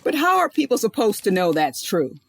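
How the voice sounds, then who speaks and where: A woman's voice: mid-range voice, very animated, a little fast. A woman playing a nosy, suspicious condo busybody, indignant and carping, in a comic audio drama.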